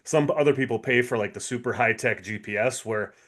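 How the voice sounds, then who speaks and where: A man's voice: mid-range voice, a little fast, fairly bright tone. A man co-hosting a podcast, chatting casually in an agreeable, plain-spoken way. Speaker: podcast co-host. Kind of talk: casual chat.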